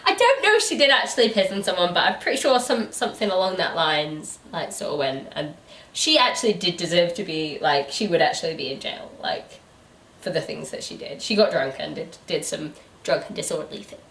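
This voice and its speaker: Lively British woman, echoey room. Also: fairly low pitch, fairly quick. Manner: giggly, informal. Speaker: book reviewer and host. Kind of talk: casual chat. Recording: echoey room, distant mic.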